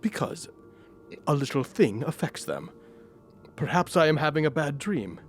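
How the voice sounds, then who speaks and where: An actor playing a bitter, skeptical but frightened old Victorian miser in an audio drama, husky and theatrical, curt or pleading. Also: male voice, fairly high pitch, big pitch swings, a little slow, faint music behind. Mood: sardonic, dismissive.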